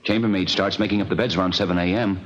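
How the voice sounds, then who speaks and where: An actor playing a nosy, talkative hotel desk clerk who is eager to help, in a 1950s radio crime drama. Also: male voice, deep voice, steady tone, quick pace, a bit bright. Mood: curious. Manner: inquisitive, talkative, pestering. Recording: muffled, slightly noisy vintage radio recording.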